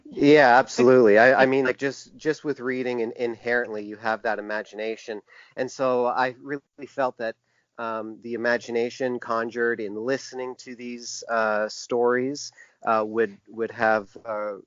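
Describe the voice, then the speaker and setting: A man giving thoughtful, halting answers as an interview guest, often repeating words as he thinks. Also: mid-range voice, flat delivery, no room sound. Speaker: interview guest, publisher and graphic designer. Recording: dull, lo-fi, dry sound.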